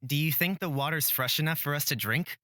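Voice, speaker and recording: A man's voice: a little high, brisk, pushed and bright. An actor playing an earnest young male hero in a fantasy visual novel, questioning and easily exasperated. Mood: curious.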